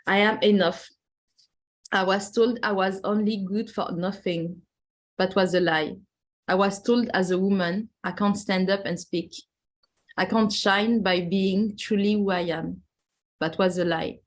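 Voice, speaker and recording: A woman's voice: medium pitch, fairly even intonation, measured pace. Woman reading a poem with emphasis. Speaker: podcast host. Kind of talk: reading a poem aloud, then casual hosting.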